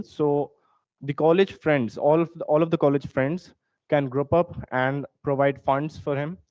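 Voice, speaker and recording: A young man with an Indian accent, a student giving a steady, formal competition speech with a few fillers. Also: fairly high pitch, dry recording. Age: young adult. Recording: dull dry lo-fi recording.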